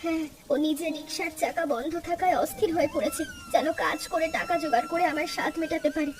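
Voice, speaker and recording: A woman's voice: extremely high pitch, expressive, fast talker, far from the mic. A woman voicing a friendly, wistful, ailing young village wife with a plaintive manner in a cartoon. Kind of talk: Bengali cartoon dialogue.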